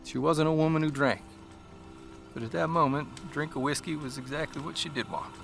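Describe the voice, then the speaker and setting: A man's voice: on the high side, brisk, gravelly, fairly bright tone, soft background music. A man playing an earnest, self-deprecating, plain-spoken newspaper columnist narrating his own stories in an audio drama, in a folksy way. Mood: somber, reflective. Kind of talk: scripted first-person audio drama narration.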